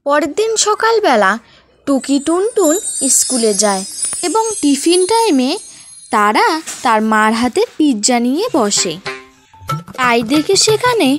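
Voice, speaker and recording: A woman narrates a children's story from a script. Her voice is high and very animated, with music clearly behind it. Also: measured pace, pauses often.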